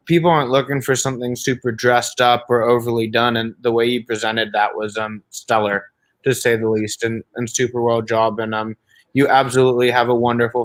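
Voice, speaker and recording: A man's voice: medium pitch, fairly bright tone, rough recording. Rambling man giving encouraging feedback. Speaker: showcase judge, songwriter. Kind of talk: casual spoken feedback.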